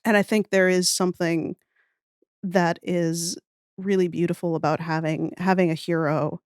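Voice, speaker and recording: A woman's voice: fairly low pitch, flat delivery, moderate pace, clear, resonant tone, dry recording, studio quality. A woman in her thirties chatting as a podcast guest in a thoughtful, wry, nerdily enthusiastic way. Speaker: podcast guest, audio fiction writer. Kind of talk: casual interview conversation.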